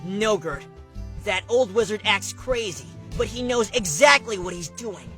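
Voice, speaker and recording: A small, brave cartoon dragon leader. The voice is bright and forceful, earnest and level-headed, over soft music. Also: male voice, high-pitched, expressive, a little slow, full character voice. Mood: steady, resolute. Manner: earnest, resolute. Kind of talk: animated cartoon voice acting. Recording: music behind the voice.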